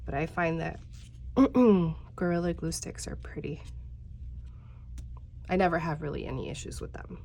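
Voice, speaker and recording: A woman in her thirties talks viewers through a craft project in a chatty, easygoing way. She sounds congested and slightly nasal, her voice sits a little low, and a faint noise floor hums under the close recording. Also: moderate pace, gravelly, pauses often, mellow. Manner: chatty, talks straight to viewers, many fillers. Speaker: crafting tutorial host. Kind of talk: casual step-by-step craft tutorial.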